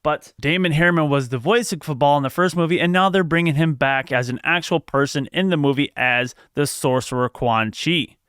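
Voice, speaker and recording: A man's voice: fairly high pitch, a little fast, hardly any pauses, fairly bright tone, clean tone, dry recording, studio quality. A man hosting a video, giving casual news commentary with opinions and frequent conversational asides.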